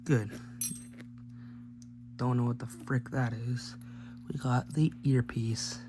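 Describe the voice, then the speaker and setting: A man's voice: mid-range voice, little pitch movement, slow, music in the background. A man hosting a teardown video with casual, rambling commentary and offhand joking remarks as he works. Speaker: host of a gadget teardown video. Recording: clearly noisy recording with hum.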